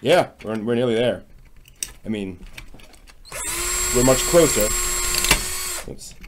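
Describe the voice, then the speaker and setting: A man thinking aloud in a casual, halting, tentative way as he works with his hands on a DIY project. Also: mid-range voice, animated. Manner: muttering to himself, tentative, halting. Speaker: host of a DIY workshop vlog. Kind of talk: casual thinking-aloud commentary while working. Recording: loud power drill and tool noise. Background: power drill, tool clicks.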